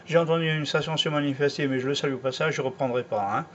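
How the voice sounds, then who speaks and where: A man's voice: fairly high pitch, steady tone, fast, fairly bright tone. A man chatting casually as a radio operator, hesitant, repetitive and polite. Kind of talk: casual radio contact chat. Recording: slightly dull sound, faint noise.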